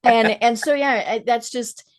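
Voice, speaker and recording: A woman's voice: a little high, steady tone, a little slow. Chatty woman host, lots of mm-hmms. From Colorado. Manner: frequent affirming backchannels, chatty. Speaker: podcast host. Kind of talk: conversational interview.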